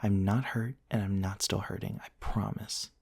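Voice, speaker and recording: A man playing a gentle, courteous centuries-old vampire prince in an intimate ASMR roleplay, soft-spoken and formal, with hesitant pauses. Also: on the low side, fairly even intonation, gravelly, slightly rough, dry recording. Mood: tender, soothing. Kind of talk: intimate ASMR roleplay, storytelling to one listener.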